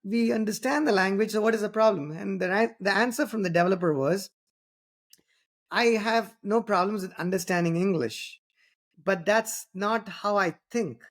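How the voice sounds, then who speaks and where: A man's voice: high voice, normal speed, fairly bright tone. A man from southern India with an Indian accent, a native Tamil speaker, hosting a podcast interview and talking in long, winding sentences full of "you know" and "right?". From Tamil Nadu, southern India. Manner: rambling, many restarts and "you know", "right?" tags. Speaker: podcast host. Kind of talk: casual interview conversation.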